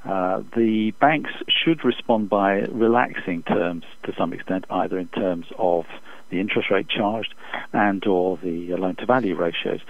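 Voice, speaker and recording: A man speaking as an expert economist in an interview over the phone, giving measured, formal, halting analytical answers. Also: sits low, no echo. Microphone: telephone. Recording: dull, muffled phone interview line.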